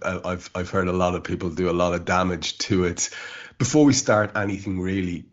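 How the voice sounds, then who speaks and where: Deep-voiced podcast host. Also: male voice, highs cut off. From Ireland. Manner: chatty and jokey, laughs easily. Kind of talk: casual interview chat. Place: outdoors in a rural field.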